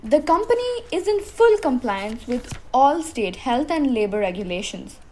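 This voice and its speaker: A brisk female clerk with a high voice and a dismissive manner, acted by a young woman. It is a student radio drama with faint noise on the recording. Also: sing-song, mellow.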